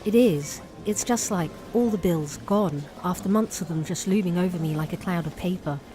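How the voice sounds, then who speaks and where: A woman playing a kind, grateful tavern keeper in an audio drama, gentle and sincere. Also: lowish voice, moderate pace, lightly acted. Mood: friendly, relieved.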